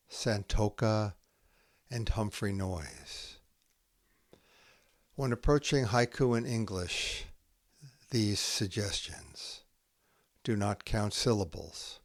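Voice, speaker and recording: Male poetry host, unhurried and deliberate, lowish voice, long pauses between short phrases. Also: dry recording, studio quality. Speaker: podcast host reading poetry. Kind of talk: measured poetry reading and scripted narration.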